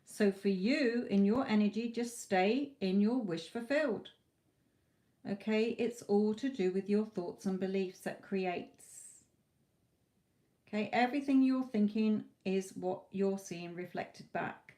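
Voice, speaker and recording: A woman giving live tarot readings to viewers, talking reassuringly, her voice going a little hoarse from hours of talking. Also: medium pitch, unhurried, warm tone. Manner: reassuring, calls viewers "my lovely". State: losing her voice, a bit hoarse. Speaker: tarot reader.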